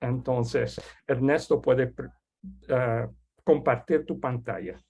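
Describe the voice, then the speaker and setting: A man whose first language is French, hosting an online webinar and introducing a guest speaker in a halting, casual way with many restarts. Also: medium pitch, steady tone, creaky, a little mellow, breathy edge, dull audio. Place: online video call.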